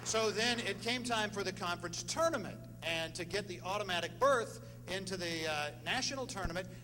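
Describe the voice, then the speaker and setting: A man's voice: high voice, brisk. A man giving an informal speech as presenter to an audience at a ceremony. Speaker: former radio sports announcer. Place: large room at a banquet event. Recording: noisy echoing hall with hum.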